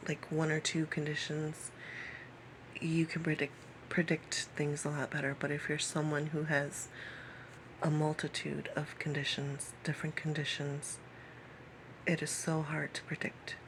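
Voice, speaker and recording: A woman in her late thirties talking personally to camera in a halting way, repeating phrases and sometimes dropping to a whisper. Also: low-pitched, completely flat delivery, background noise. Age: late 30s. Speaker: vlogger.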